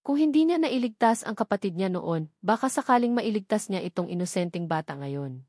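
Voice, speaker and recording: A woman's voice: medium pitch, animated, fairly quick, dark tone, no room sound, studio quality. A woman reading a scripted dramatic story as its narrator.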